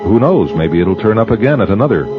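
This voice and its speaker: A very low-voiced man narrates a horror anthology with an ominous, measured delivery. His pitch moves a lot, over clear background music on a dull recording. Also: sing-song. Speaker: host of horror anthology drama. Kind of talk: scripted dramatic narration. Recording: dull lo-fi radio audio.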